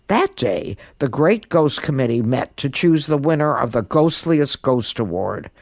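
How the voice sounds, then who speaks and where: Casting: woman for children's story narration, deep voice, theatrical delivery, very lively pitch, dry close sound. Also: unhurried, dry recording. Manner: theatrical, emphatic. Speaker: storyteller, guest podcast host.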